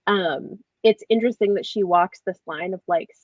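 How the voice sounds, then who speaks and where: A woman's voice: on the low side, moderate pace, no room sound, dull audio. A woman hosting a casual online book discussion, speaking in a reflective, informal way. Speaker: book discussion livestream host. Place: online group video call.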